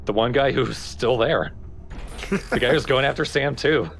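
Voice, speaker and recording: A man's voice: medium pitch, normal speed, creaky, a bit bright, slight background noise. A man giving dry, casual co-commentary on a video game. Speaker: let's play co-commentator.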